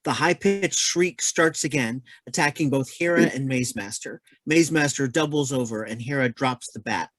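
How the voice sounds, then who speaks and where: A man's voice: medium pitch, normal speed, hardly any pauses, a bit bright, no room sound. A man reading stage directions as scripted narration for a play.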